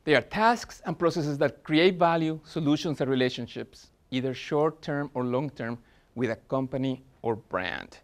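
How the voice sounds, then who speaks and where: A man's voice: mid-range voice, moderate pace. A man in his forties with a Spanish accent, presenting at a business workshop in an earnest way, with frequent fillers and restarts. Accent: Spanish-accented English.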